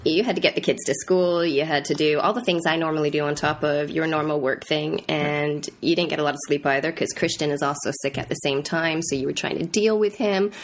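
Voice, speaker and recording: A woman's voice: sits low, steady tone, fairly quick, hardly any pauses, slight background noise. A middle-aged woman co-hosting a podcast in casual chat, congested and nasal from a cold, playful and teasing. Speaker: podcast co-host.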